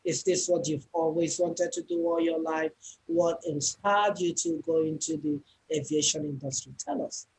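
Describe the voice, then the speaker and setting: Warm-toned man hosting an interview. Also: on the high side, lively intonation, moderate pace, creaky, slight background noise, low-quality recording. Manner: encouraging interviewer, sums up answers. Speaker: show host. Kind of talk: casual interview.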